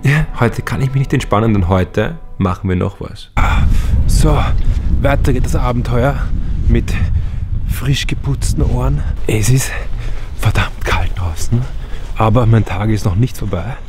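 A man's voice: mid-range voice, expressive, measured pace, over music. A man in his twenties with an Austrian accent, talking casually to camera as a vlogger. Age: 20s. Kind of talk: casual vlog talk to camera.

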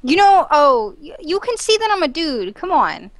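A woman's voice: high-pitched, big pitch swings, normal speed, no echo. A woman playing a tabletop game over a video call on a headset, making dry, wry objections. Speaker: player.

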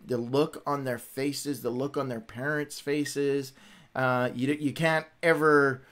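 A man's voice: medium pitch, fairly even intonation, takes it slowly, fairly bright tone. A middle-aged man hosting a livestream in casual, rambling, informal chat. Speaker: host of a Bible read-along livestream.

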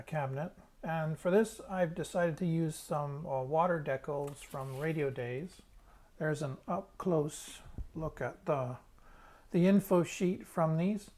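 A man's voice: a little high, darkish tone. Man casually talking through hands-on work. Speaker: hobbyist restorer presenting a tutorial. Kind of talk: casual hands-on demonstration commentary.

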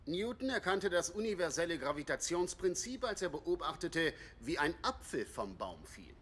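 A man's voice: a little high, big pitch swings, normal speed, a bit bright, slight background noise. An actor playing a male school teacher lecturing a class in an audio drama. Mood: didactic.

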